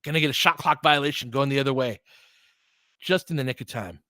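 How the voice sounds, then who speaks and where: A man's voice: mid-range voice, fairly quick, pushed and bright, no room sound. Casual male basketball play-by-play commentator, dry close sound. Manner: conversational, informal, thinking aloud. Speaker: basketball play-by-play streamer and analyst. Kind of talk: casual live commentary mixed with analysis and chat.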